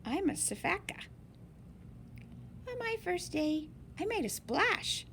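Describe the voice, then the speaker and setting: A woman reading a picture book aloud in an expressive, deliberate way for young listeners. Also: very high-pitched, vocal fry, a little hiss or hum. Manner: slow, deliberate, animated phrasing for young listeners. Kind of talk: expressive children's read-aloud.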